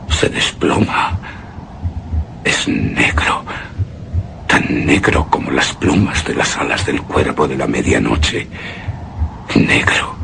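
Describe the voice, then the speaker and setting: An actor playing a terrified, anguished widower in a gothic horror radio drama, speaking theatrically in halting, repeated phrases. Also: male voice, extremely low pitch, gravelly, background noise, heavily acted. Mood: hushed horror. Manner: halting, theatrical, repeats words, breaks into hushed gasps. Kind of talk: Spanish-language horror radio drama monologue.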